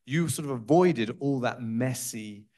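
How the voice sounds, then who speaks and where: A man's voice: mid-range voice, unhurried, dark tone. A man moderating a panel discussion, asking loose, rambling questions with asides.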